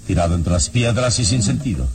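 An actor playing a calm, commanding, wise adventurer hero, speaking with measured authority in a radio serial. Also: male voice, lowish voice, close to an everyday voice. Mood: stern questioning. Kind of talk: old radio serial acting. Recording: radio drama with music behind.

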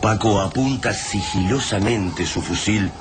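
A man's voice: fairly low pitch, measured pace, noisy background. A man reading dramatic scripted narration for a radio drama. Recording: loud music behind the voice.

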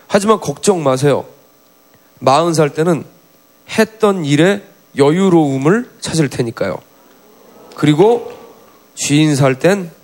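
A man's voice: on the high side, a little mellow. A man hosting a TV music show, reading comments in easygoing studio banter.

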